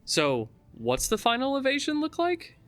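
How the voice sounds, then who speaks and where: A man's voice: very high voice, wildly swooping pitch, normal speed, a bit bright, clean tone, faint music behind. A man in casual chat, reacting dryly with mock disbelief as a co-host. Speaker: co-host reacting and asking questions.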